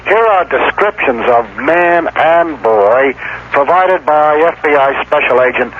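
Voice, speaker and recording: A man's voice: fairly high pitch, animated, measured pace. An American man delivering a scripted radio news report as a reporter. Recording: dull, lightly noisy vintage radio broadcast.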